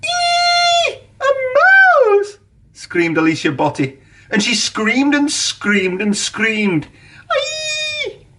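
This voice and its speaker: A narrator voicing Alicia Botti, a grand, dramatic female opera singer who shrieks, in a storybook reading, pitched far above the narrating voice. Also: very animated, slow pace, pushed and bright, slight background noise, heavily acted. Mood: shrieking. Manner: shrill, dramatic. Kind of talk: storybook character voice.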